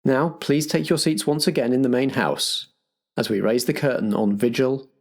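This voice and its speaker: A man with a slightly husky voice, hosting and narrating a roleplay show. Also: mid-range voice, steady tone, moderate pace. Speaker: host and game master of an improvised roleplay podcast. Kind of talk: scripted announcements and game narration.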